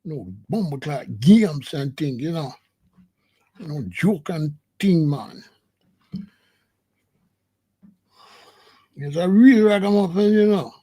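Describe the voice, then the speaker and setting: A man's voice: on the high side, animated, a little slow, dark tone, husky. A man voicing a boastful, tough-talking rasta with a put-on Jamaican patois, full of repeated tags. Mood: defiant. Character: swaggering tough male rasta, boastful, no-nonsense. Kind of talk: comic impression.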